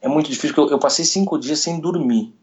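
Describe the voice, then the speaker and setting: A man, a radio sports journalist telling a story in an interview, retelling events quickly with quoted dialogue. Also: on the high side. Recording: dull lo-fi recording.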